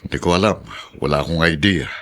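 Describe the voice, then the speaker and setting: An actor playing a worried, secretive town mayor in a Filipino radio drama, grave and emphatic. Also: male voice, a bass voice, fast. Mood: troubled, guarded.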